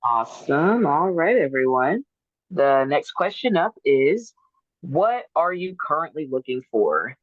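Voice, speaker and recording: A woman's voice: low voice, sing-song, a little slow, dry recording, lo-fi sound. A woman hosting a dating show, calling on guests one by one with short cheerful acknowledgements.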